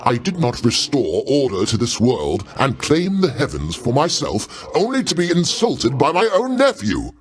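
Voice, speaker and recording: An actor playing a proud, paternal older god in a video game, speaking in a pompous, avuncular way with formal, theatrical diction. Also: male voice, medium pitch, wildly swooping pitch, normal speed, hardly any pauses. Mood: stern, offended. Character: grandiose, paternal older male Greek god, proud, easily offended.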